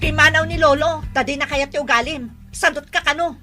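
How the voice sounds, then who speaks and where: A woman's voice: brisk, over music, lightly acted. A woman playing a nagging, sarcastic wife fed up with her drunkard husband, in Ilocano-accented radio drama style. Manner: shrill, sharp-tongued, sarcastic.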